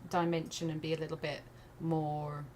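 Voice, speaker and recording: A woman's voice: low voice, level tone, moderate pace, a little mellow. A woman from England with a blended English and American accent, casually thinking aloud as she hosts a live art tutorial. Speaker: art livestream host. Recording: noticeable background noise.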